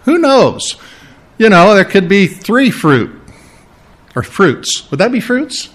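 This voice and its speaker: Man preaching with slow stressed phrases. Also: high voice, sing-song, a bit bright. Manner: explanatory, with asides and humor; slows down to stress key phrases. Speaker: pastor. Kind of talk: sermon teaching on scripture.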